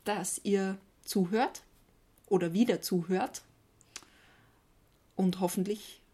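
A woman's voice: medium pitch, fairly even intonation, takes it slowly, mellow, breathy edge. A woman with an Austro-Bavarian accent co-hosting a podcast in a casual, enthusiastic, exclaiming chat. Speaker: podcast co-host.

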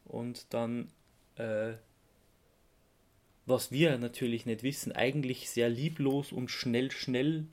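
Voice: mid-range voice, fairly even intonation, slow, long pauses, a little mellow. A podcast co-host with an Austrian accent, chatting casually and explaining things in a conversational way. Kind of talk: casual chat about radio plays.

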